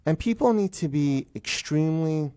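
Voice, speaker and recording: A man's voice: fairly high pitch, animated, normal speed, dark tone, smooth. A middle-aged man speaking as a guest attorney in a radio interview, explaining procedure in an emphatic way.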